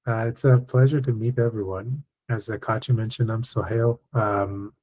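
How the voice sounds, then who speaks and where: A man from Iran, in his thirties, speaking as a guest at an online session and telling his career story in a measured, thoughtful way with frequent fillers. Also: on the low side, steady tone, a little mellow, lo-fi sound. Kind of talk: personal story in a webinar talk.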